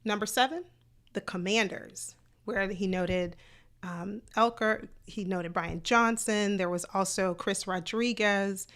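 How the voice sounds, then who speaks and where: A woman hosting a live sports talk stream, chatty, greeting viewers in the chat by name, her voice slightly hoarse. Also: mid-range voice, slow pace, a bit bright. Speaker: host.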